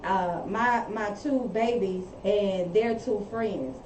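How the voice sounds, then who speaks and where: A woman's voice: medium pitch, slow. A woman chatting casually on a podcast panel, telling stories with lots of laughter. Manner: animated storyteller, laughs often. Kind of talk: casual group chat. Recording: roomy, distant mic, faint background noise.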